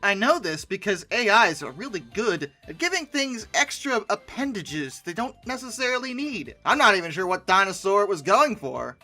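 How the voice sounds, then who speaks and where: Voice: mid-range voice, big pitch swings, normal speed, hardly any pauses, bright, forceful tone, faint music behind. A host reacting off the cuff to images with theatrical, sarcastic comic commentary and frequent exclamations. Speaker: host of a comedy reaction video.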